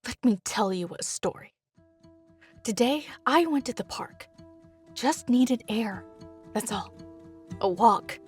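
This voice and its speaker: High-pitched, bitter woman caller played by an actress. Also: lively intonation, soft background music. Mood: weary, deliberate. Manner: deliberate, clipped fragments, sardonic storytelling. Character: scared, bitter, sarcastic woman caller facing doomsday. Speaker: caller to a helpline. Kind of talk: dramatic monologue in an audio drama.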